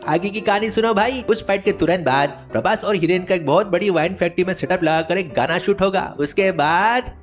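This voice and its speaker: A man's voice: high voice, brisk, hardly any pauses, soft background music, pitched above the performer's own voice, lightly acted. A man voicing a boastful, idle village chatterbox who pushes his tall tales insistently in a children's story. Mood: self-satisfied, eager. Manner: bragging, insistent, wheedling.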